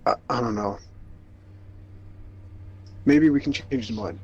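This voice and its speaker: A man playing in a tabletop game, speaking in character in an unsure, halting way. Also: medium pitch, husky, lo-fi sound. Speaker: player. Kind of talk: in-character role-play.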